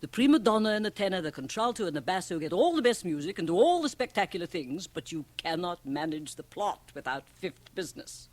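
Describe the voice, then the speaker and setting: Shrewd woman, low husky voice, blunt and theatrical, radio play. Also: very animated, a little fast, few pauses, a little mellow. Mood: explaining, knowing. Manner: emphatic, theatrical, probing. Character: shrewd, worldly, provocative Swiss woman, blunt and teasing. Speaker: radio drama actress. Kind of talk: radio drama acting.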